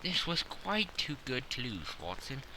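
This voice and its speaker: A man's voice: mid-range voice, big pitch swings, measured pace, sharp tone, a little hiss or hum, poor audio. A man narrating a classic story as a scripted, dramatic audiobook.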